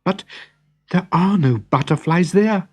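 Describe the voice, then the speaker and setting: A man's voice: high-pitched, expressive, unhurried, breathy edge, voice pushed up, exaggerated voice. A man voicing an earnest, outspoken young girl, a daughter in a storybook. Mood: defiant. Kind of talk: storybook character acting.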